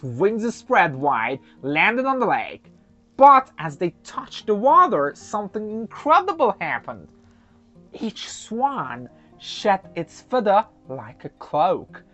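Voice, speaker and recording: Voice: mid-range voice, fairly bright tone. A storyteller hosting a folktale for children, narrating theatrically with chatty, casual asides. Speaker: storyteller and host. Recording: slightly dull, lo-fi microphone sound.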